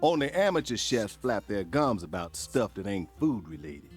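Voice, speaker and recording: An actor playing a gruff, brash male chef in game dialogue, who talks bluntly and uses slang. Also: fairly low pitch, very animated, normal speed, faint music behind.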